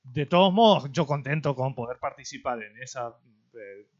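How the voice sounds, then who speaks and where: A man chatting casually as a podcast co-host, thinking aloud in a halting way. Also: medium pitch, expressive, highs cut off. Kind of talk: casual conversational chat.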